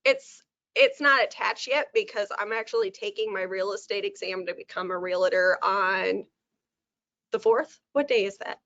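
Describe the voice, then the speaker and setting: A woman with a slightly hoarse, sore throat, chatting at length on a video call and laughing easily. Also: medium pitch, normal speed, fairly bright tone, dry recording. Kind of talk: casual video call update. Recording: dull lo-fi video call audio.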